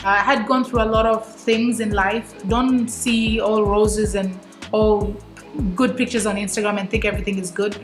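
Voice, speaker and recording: A woman from Tanzania speaks as a guest in a talkative, animated way, pausing now and then to find a word. Her voice rings in an echoey room with music underneath. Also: mid-range voice. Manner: animated and talkative, searches for words. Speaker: guest, HR manager. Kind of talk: casual interview.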